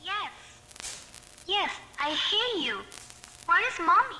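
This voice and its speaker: A woman's voice: very high voice, very animated, takes it slowly, sharp tone, exaggerated voice. A woman playing a helpless little ghost girl in an audio horror drama, whimpering and pleading. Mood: scared, pleading. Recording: distant, clearly noisy with clicks.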